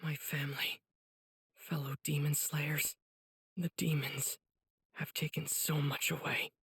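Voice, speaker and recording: Anime game hero: earnest teen boy swordsman, very husky and breathy, unhurried, dry studio sound. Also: on the high side, little pitch movement, fairly bright tone, dry recording, full character voice. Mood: sorrowful. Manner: earnest, breathy inner monologue bursting into exclamations. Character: earnest, determined teenage boy demon-slaying swordsman. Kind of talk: English video game dub acting, earnest anime hero.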